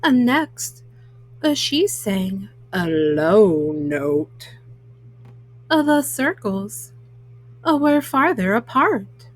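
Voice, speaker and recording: Slow, halting woman reading a storybook. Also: medium pitch, big pitch swings, no room sound. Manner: halting, word-by-word reading with frequent hesitant fillers. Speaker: storyteller, host of a children's read-aloud show. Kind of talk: reading a children's picture book aloud.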